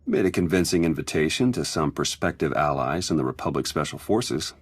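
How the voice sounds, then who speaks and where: A man's voice: extremely low pitch, animated, fairly quick, husky, dry recording, exaggerated voice. An actor playing a sly male spy with a dry, wry, confiding manner, in a video game.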